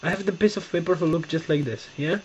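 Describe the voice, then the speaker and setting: Halting Romanian-accented young man. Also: fairly high pitch, a little mellow. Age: young adult. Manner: halting, searching for words, repeats himself. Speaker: tutorial maker. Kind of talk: casual step-by-step demonstration. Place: bedroom, seated on a bed indoors. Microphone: webcam close-up. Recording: dull lo-fi webcam audio with faint hiss.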